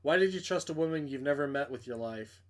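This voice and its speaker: A man's voice: on the high side, expressive, quick pace, natural voice. A man voicing a menacing stalker in a horror visual novel, with cold, mocking questions. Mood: cold taunting. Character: menacing, taunting stalker messaging a victim in horror game.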